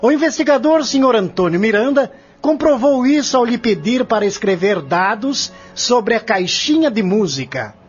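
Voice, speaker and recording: A man's voice: extremely high pitch, lively intonation, normal speed, hardly any pauses, husky, heavily acted. A man playing a confident, relentless lawyer in an audio drama, arguing in a formal, articulate, declamatory way. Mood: accusing, firm. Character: confident prosecuting lawyer, relentless, methodical, formal courtroom orator. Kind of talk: audio drama acting, courtroom scene. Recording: dull lo-fi audio with music underneath.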